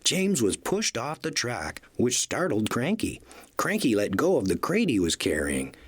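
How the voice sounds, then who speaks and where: Husky man narrating a children's story, animated. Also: mid-range voice, measured pace.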